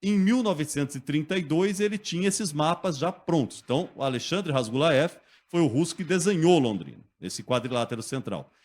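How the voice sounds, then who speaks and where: A man telling local history as a guest in a radio studio, lively and conversational, often checking in with the listener. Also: on the high side, a little fast, hardly any pauses. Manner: lively storyteller, acts out quotes, often asks "né?".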